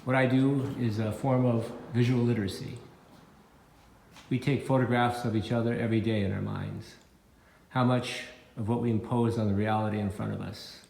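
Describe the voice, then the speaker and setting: Low, gravelly older man in an echoey room. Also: darkish tone. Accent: American Midwestern. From Minnesota, United States. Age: 60s. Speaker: photographer giving a keynote address. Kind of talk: prepared keynote talk. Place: indoor gallery, recorded on location. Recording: echoey gallery room with faint background noise.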